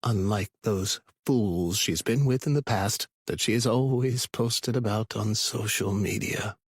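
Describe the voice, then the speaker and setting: A man's voice: on the low side, unhurried, slightly rough, dry recording, clean studio sound. A man playing an obsessive, possessive stalker in a thriller audiobook, narrating in the first person with brooding, self-absorbed intensity. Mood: determined, possessive. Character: obsessive, possessive male stalker, frustrated and scheming.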